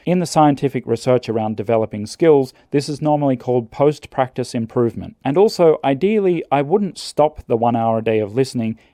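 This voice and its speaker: A man calmly narrating scripted language-learning advice in a measured, earnest way. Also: medium pitch, no room sound, studio quality.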